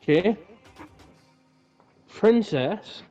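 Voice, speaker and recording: A man's voice: high voice, animated, drawn-out words, mellow, highs cut off, faint music behind. A man co-hosting a podcast in casual, bantering, informal chat. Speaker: podcast co-host. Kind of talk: casual chat, giving clues.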